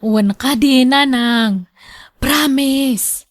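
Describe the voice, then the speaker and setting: A woman's voice: high voice, very slow. A woman playing an emotional young daughter and wife in a radio drama, with a northern Philippine accent. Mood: reassuring.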